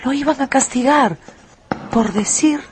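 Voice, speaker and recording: A woman narrating a dramatised radio story in an expressive, deliberate, emphatic way. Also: sits high, slight background noise.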